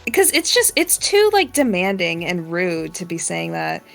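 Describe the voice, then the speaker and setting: Woman, slightly low voice, creaky, casual podcast panel chat. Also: very animated, normal speed. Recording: music behind the voice.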